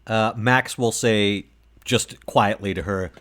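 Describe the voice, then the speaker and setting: A man's voice: on the low side, fairly even intonation, slow pace, a bit bright. A man playing a casual, plain-spoken male vampire in a tabletop game, talking informally and a little hesitantly. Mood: casual, placating.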